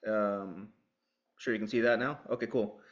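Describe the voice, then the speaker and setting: A man from Peru speaking casually and haltingly as a podcast guest, with dull lo-fi sound. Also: lowish voice, fairly even intonation. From Lima, Peru. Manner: halting, hesitant delivery. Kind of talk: casual self-introduction.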